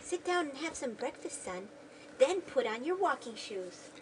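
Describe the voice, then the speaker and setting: Woman playing a lively high-voiced mother, off mic. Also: normal speed. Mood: brisk, kindly. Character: gentle, practical mother in a 1950s family. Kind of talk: school radio drama, scripted reading. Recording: distant mic, noisy home recording.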